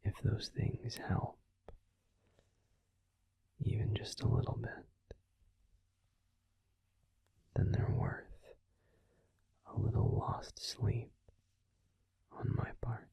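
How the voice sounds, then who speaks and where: A man playing a grumpy but tender werewolf boyfriend in an intimate, slow, soothing whispered sleep-aid roleplay. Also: mellow. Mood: tender, reassuring. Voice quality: breathy, husky whisper.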